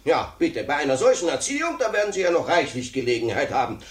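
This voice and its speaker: A man's voice: on the high side, big pitch swings, fast talker. An actor playing an indignant, pedantic father who sputters with outrage in a 1950s German radio comedy. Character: indignant, huffy father defending his wimpy son. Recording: roomy 1950s radio play recording.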